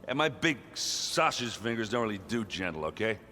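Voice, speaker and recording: A man's voice: lowish voice, big pitch swings, moderate pace, sharp tone, long reverb tail, slight background noise. An actor playing a big, cheerful and clumsy male warrior in a video game, hearty and joking. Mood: sheepish.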